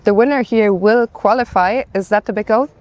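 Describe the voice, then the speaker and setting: A woman's voice: mid-range voice, normal speed, a little mellow. A woman interviewing a player after a game, asking questions in a conversational broadcast style. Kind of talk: post-game sports interview.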